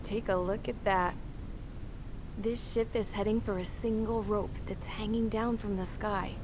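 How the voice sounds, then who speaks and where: A woman's voice: medium pitch, steady tone, measured pace, background noise, close to an everyday voice. A woman playing a tough, cynical, plain-spoken character who narrates and talks in a video game drama. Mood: reflective. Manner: plain-spoken, matter-of-fact. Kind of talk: game cutscene narration and dialogue. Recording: music under the voice.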